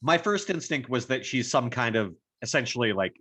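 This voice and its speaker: A man's voice: medium pitch, expressive, moderate pace, pushed and bright, slightly rough, dry recording. A man talking casually and thoughtfully in a group discussion, working through his ideas as he speaks. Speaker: voice actor giving feedback.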